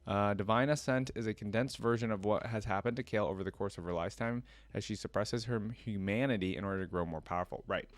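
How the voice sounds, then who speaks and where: A man's voice: on the low side, quick pace, few pauses, no echo. A man hosting a reaction video, reading trivia aloud in a casual way with short asides to himself.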